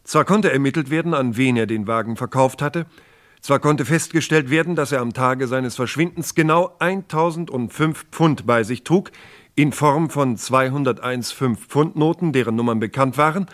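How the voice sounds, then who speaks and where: An actor playing a sober narrator of a police case, measured and matter-of-fact, in a 1960s documentary radio drama. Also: male voice, mid-range voice, a bit bright, close to an everyday voice. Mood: sober, factual.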